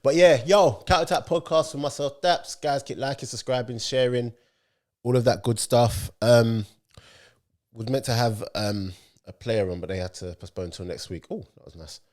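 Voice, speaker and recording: A man's voice: mid-range voice, fairly even intonation, normal speed, a little mellow. A young man hosting a casual football podcast in a laid-back, drawling way. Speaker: podcast host.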